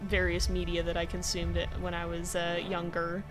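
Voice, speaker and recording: A woman's voice: fairly low pitch, flat delivery, moderate pace, soft background music. A woman streaming a game, chatting casually with her viewers and her game, laughing often, with a mixed American accent that slips toward British. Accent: mixed American, slightly British-tinged. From California. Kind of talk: casual game livestream chat.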